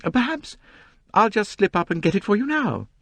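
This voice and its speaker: A man voicing a pompous middle-aged woman novelist with a high, complaining voice. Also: sing-song, normal speed, no echo, exaggerated voice. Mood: eager.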